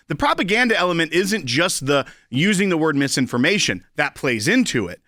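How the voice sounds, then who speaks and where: A man's voice: sits high, sharp tone, no echo, clean studio sound. A man giving emphatic political commentary as a co-host, driving key points home by repetition. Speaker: talk show co-host.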